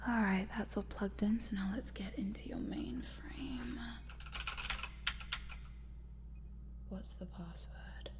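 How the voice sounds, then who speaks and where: A woman acts out a quirky female lab scientist in an ASMR roleplay. She whispers softly and takes her time, muttering hesitantly to herself like someone who is often alone at work. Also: medium pitch, unhurried, natural voice. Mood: focused, puzzled.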